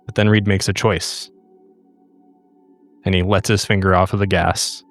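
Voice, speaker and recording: A man with a lowish voice narrates his character's scene in a tabletop game. He speaks slowly and evenly, setting up each image like a camera shot, and soft music plays underneath. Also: flat delivery, no echo. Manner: slow, measured scene-setting. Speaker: player.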